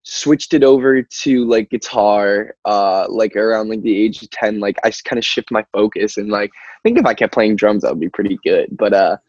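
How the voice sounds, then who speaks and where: A man's voice: lowish voice, moderate pace, darkish tone, breathy edge. A young American man who is a band singer chats casually as an interview guest, rambling with lots of filler words and easy laughter. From Albany, New York, United States. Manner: rambling, peppered with "like" and "you know", laughs easily. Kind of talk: casual chat. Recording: muffled, roomy call-like audio.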